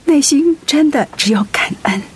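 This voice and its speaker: An actress playing a devoted, anxious wife in a radio drama, speaking tenderly and earnestly with feeling. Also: female voice, high voice, animated, moderate pace. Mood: moved, grateful. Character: devoted, anxious wife caring for her sick husband.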